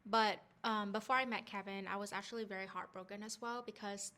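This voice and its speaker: A woman's voice: medium pitch, fairly even intonation, a little fast, bright, forceful tone, slight background noise. Chatty young woman vlogger. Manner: chatty and upbeat, talks straight to viewers. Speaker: vlog host, wife. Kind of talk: casual story time chat.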